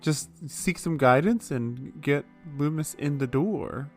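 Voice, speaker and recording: A man with a somewhat high voice chats as a player in a tabletop game. He is informal and jokey, and quiet music plays behind him. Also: takes it slowly. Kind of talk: casual in-character game chat.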